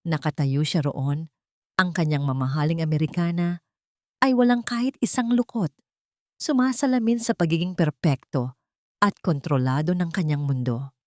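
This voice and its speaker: A woman's voice: low-pitched, normal speed, a little mellow, no echo, clean studio sound. A woman reading dramatic scripted narration as the storyteller of an audio drama.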